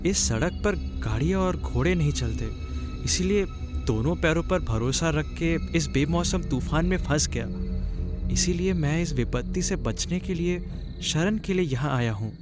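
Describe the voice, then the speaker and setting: A man acts out a young traveller in a cartoon ghost story. His voice is high for a man, polite but uneasy and full of hesitant questions, with music clearly behind it. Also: lively intonation, moderate pace, lightly acted. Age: young adult. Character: anxious, polite young traveller sheltering from a storm. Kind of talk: acted Hindi cartoon horror dialogue.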